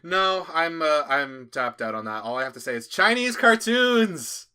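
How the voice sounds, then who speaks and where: Bright, lively young man. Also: fairly high pitch, moderate pace, smooth. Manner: supportive, brief backchannel replies. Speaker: podcast guest co-host. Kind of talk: casual chat.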